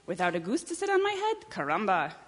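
A woman's voice: fairly low pitch, normal speed, lightly acted. A woman voicing an exclamatory, territorial mother hen in a storybook reading. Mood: indignant. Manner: exclamatory, loud. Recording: echoey hall, long reverb.

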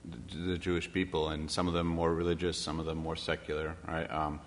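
A man's voice: extremely low pitch, little pitch movement, a little fast. A man interviewing informally, tentative and searching for his words as he frames questions. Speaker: interviewer, moderator. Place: large echoey room or hall. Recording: reverberant hall with background noise.

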